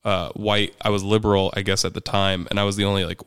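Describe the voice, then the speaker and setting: A man's voice: fairly low pitch, level tone, fast, a bit bright, smooth, no echo, clean studio sound. A man talking candidly and conversationally as a guest, recounting his own experiences. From United States. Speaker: podcast guest, parent activist.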